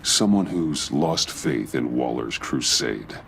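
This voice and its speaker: An actor playing a stern, brooding masked hero in a video game, terse and commanding, with a gravelly voice. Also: male voice, extremely low pitch, expressive, slow pace, slight background noise, heavily acted. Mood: grave, businesslike. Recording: loud music behind the voice.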